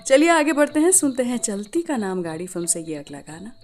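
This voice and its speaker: An Indian woman presents a radio show as an expressive storyteller. Her voice sits a little high and moves with animated swings. She mixes Hindi and English over a music bed. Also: brisk. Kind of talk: scripted radio storytelling about classic film music.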